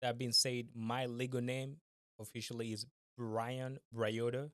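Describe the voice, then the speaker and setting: A man in his twenties, originally from Kenya, a guest answering questions on a podcast in a polite, measured and formal way. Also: mid-range voice, little pitch movement, a bit bright, clean tone, dry recording, studio quality. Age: 20s. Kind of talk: casual interview.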